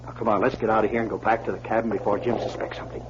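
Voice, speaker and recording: Actor as sly folksy prospector, muffled old radio. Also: male voice, on the low side, little pitch movement, rapid-fire, background noise, lightly acted. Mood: sly, scheming. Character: scheming, folksy male prospector, sly ringleader. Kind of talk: 1940s radio acting.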